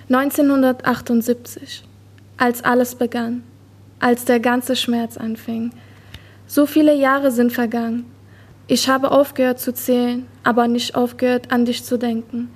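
A young woman from Afghanistan recites her own poem in a measured, earnest way. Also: on the high side, darkish tone. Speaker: student poet.

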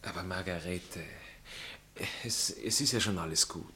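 A jealous baron in a theatrical comedy. His voice sits fairly low. He speaks with aristocratic courtesy, condescension and pointed irony. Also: a little slow, sharp tone, slight background noise. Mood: soothing, superior. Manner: clipped courtesy, hesitant interjections, pointed irony. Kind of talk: theatrical stage comedy dialogue.

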